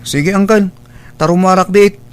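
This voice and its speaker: A man's voice: high-pitched, steady tone, unhurried, clean tone. A man playing a sullen, demanding young son in a radio drama, with a Filipino accent and a curt, disrespectful manner. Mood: defiant. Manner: petulant, curt. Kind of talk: Ilocano radio drama acting.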